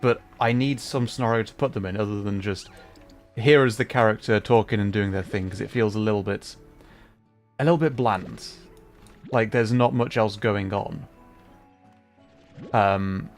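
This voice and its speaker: A man's voice: on the low side, moderate pace, pauses often. Man chatting casually over game music. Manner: hesitant, thinking aloud, rambling explanations. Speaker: streamer. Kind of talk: casual livestream chat while gaming.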